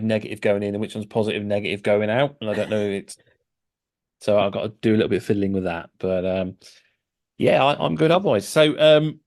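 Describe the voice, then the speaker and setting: A middle-aged man hosting a casual podcast, chatty and hesitant, with many fillers and restarts. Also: mid-range voice. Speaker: podcast host.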